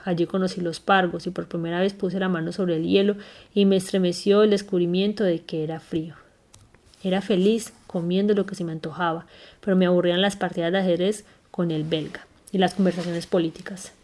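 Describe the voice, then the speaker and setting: Woman reading a memoir aloud, low voice. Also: steady tone, darkish tone. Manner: unhurried, careful reading with small slips and self-corrections. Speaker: reader.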